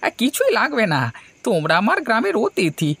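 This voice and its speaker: A friendly, nosy older village eatery keeper from a cartoon, played by a man. The voice is high and gravelly, leaping about in pitch with familiar, generous chatter. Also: brisk, dry recording, heavily acted. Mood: friendly, curious. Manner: animated, familiar, questioning. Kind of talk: animated horror story dialogue.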